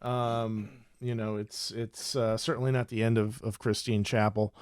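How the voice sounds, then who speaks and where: A man's voice: lowish voice, level tone, normal speed, a little mellow, smooth, no echo, clean studio sound. A man co-hosting a podcast, explaining things casually in a matter-of-fact, knowledgeable way. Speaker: podcast co-host.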